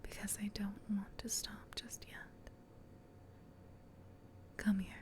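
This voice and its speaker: A woman voicing a gentle, protective female demon in a soft, soothing whisper for an ASMR comfort roleplay. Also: medium pitch, monotone, natural voice. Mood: tender, reassuring. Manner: soothing, tender, reassuring, unhurried.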